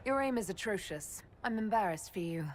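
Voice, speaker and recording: An actress voicing a composed young female mage leader in a video game, dry and measured. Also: mid-range voice, animated, lightly acted.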